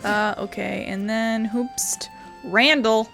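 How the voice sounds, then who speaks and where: A woman's voice: a little high, fairly even intonation, takes it slowly, creaky, a bit bright, faint music behind. A woman casually talking and reading dialogue aloud during a game playthrough.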